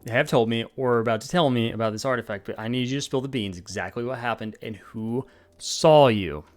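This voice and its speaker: Man doing a guarded woman ally, blunt and businesslike, mid-pitched. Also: a little fast, close to an everyday voice. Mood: wary. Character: guarded, sharp-minded woman companion.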